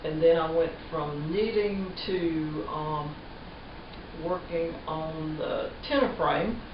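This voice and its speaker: A woman's voice: low-pitched, slow pace. A woman in her fifties from North Carolina, a former textile mill worker chatting in an interview and laughing easily. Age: 50s. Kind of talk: casual interview. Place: living room. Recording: dull roomy audio from a far camera with background noise.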